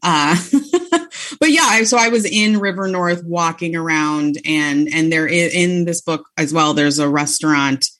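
A woman's voice: deep voice, a little slow, fairly bright tone. A woman in her thirties, a guest author in a casual interview, talking in a chatty way with many fillers, restarts and laughs.

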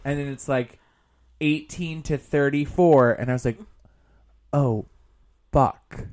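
A man's voice: medium pitch, takes it slowly. A man in his thirties chatting casually as a podcast co-host, telling stories in an emphatic, comedic and sweary way. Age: 30s. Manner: animated, swearing, emphatic storytelling.

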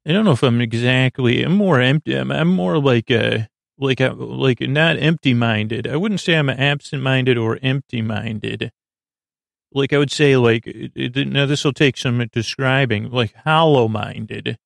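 Creaky-voiced man rambling a bedtime monologue. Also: mid-range voice, no room sound, clean studio sound. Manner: meandering, hesitant, halting, goes off on tangents. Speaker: podcast host. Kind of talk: rambling bedtime monologue meant to lull listeners to sleep.

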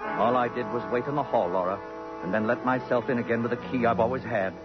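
An actor playing a cultured, possessive, murderous aesthete, pompous and disdainful, in a 1950s radio drama. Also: male voice, medium pitch, fairly even intonation, quick pace, close to an everyday voice. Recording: muffled old radio, music behind.

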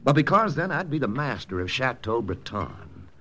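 An actor playing a confident American army intelligence lieutenant in a 1940s radio drama, brisk, persuasive and matter-of-fact. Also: male voice, mid-range voice, very animated, pushed and bright. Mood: persuasive, firm. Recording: old radio broadcast with music.

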